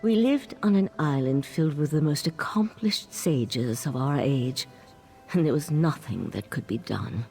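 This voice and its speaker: An actress playing a troubled, sardonic mage in a video game, speaking in a weary, confiding way. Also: female voice, sits low, expressive, unhurried, dark tone. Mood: grieving, subdued. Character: troubled, sardonic female Psijic mage, grieving mother. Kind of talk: video game character dialogue. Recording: game music behind.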